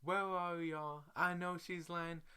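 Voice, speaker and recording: A man acts out an angry, suspicious man in a comic story. The voice rises high and forceful, barking and accusatory. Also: level tone, takes it slowly, clear, resonant tone, heavily acted. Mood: angry, threatening.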